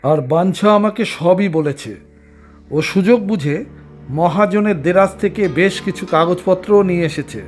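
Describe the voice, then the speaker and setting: A man playing a high-voiced government officer. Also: normal speed, soft background music, lightly acted. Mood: reassuring, official. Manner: formal, explanatory. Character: composed, authoritative government officer, helpful. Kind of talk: Bengali cartoon voice acting.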